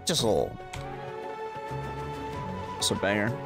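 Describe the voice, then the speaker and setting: A man's voice: on the low side, big pitch swings, unhurried, gravelly. A tired man giving casual livestream commentary on a game, laid-back, with sarcastic asides and mild swearing. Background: game music.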